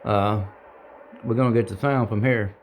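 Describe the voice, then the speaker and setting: A man giving a casual, unscripted how-to demonstration in a halting, folksy, plain-spoken way, as a hobbyist. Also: lowish voice, steady tone, slight background noise, low-quality recording. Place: indoor home radio room.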